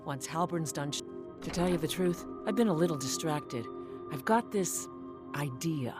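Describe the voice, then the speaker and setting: A woman's voice: low-pitched, lively intonation, moderate pace. A woman playing a driven, vengeful warrior villager in a video game, earnest and intent. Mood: earnest, scheming. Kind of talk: video game character dialogue. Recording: music behind the voice.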